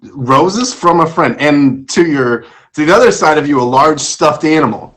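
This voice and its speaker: A man's voice: on the high side, lively intonation, moderate pace, fairly bright tone. A man hosting a casual interview show, asking questions and joking with his guest. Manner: joking, teasing. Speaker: show host.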